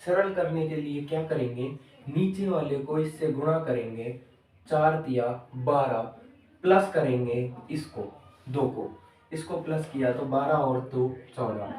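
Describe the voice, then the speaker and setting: Guy teaching a math class, mellow voice, loud room echo, sounds far off from the mic. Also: medium pitch. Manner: explains step by step, repeating each step and asking the class; addresses the pupils as children. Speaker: maths teacher. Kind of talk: classroom maths lesson.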